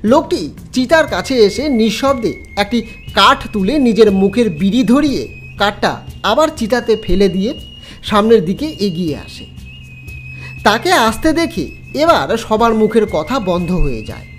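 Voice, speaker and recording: A man's voice: high voice, big pitch swings, a little slow, soft background music. A man narrating a horror story in a dramatic storytelling style.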